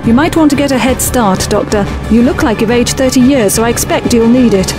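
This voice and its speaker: A woman plays a brisk young expedition leader in a sci-fi audio drama. Her voice is fairly high, blunt and commanding, over a clear music bed. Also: close to an everyday voice. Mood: dry, pointed. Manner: blunt, authoritative.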